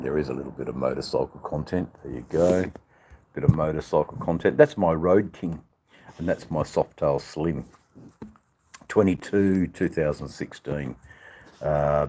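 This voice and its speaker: An older man with an Australian accent and a husky voice, chatting casually to livestream viewers in a rambling, drawn-out, joking way. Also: extremely low pitch, a little mellow. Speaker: livestream channel host. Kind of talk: casual live chat with viewers.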